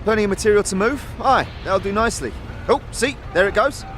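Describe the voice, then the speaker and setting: Casting: friendly young lad at the forge, voiced by a man, high-pitched, slangy and encouraging, for a fantasy audio drama with music. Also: animated, brisk, a bit bright. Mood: friendly, chatty. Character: friendly teenage boy smith, easygoing, encouraging, street slang. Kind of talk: audio drama character acting.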